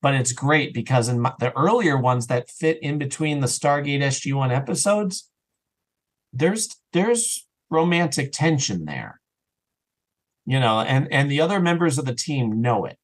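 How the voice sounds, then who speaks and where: A man chatting casually and enthusiastically as an interview guest, rambling and animated, sometimes acting out bits of dialogue. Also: mid-range voice, unhurried. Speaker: interview guest, audio drama writer and actor.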